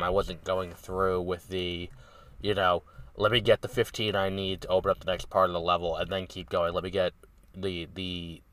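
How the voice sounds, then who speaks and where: A man's voice: deep voice, a bit bright, recorded from a distance. A man hosting a podcast while sick with a cold, sounding nasal and congested, rambling casually with lots of ums and restarts. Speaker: podcast host. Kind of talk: casual solo review talk.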